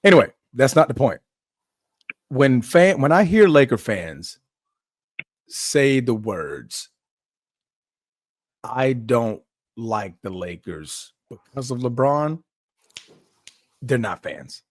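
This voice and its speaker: A man's voice: mid-range voice, animated, slow, long pauses, dry recording, studio quality. A man in his thirties in casual podcast chat, emphatic and repeating his points. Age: 30s.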